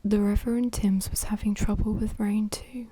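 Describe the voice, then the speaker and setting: A woman reading a children's storybook aloud in a soft, breathy whisper, with hushed asides to the listener. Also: mid-range voice, little pitch movement, normal speed, no room sound. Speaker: storybook reader.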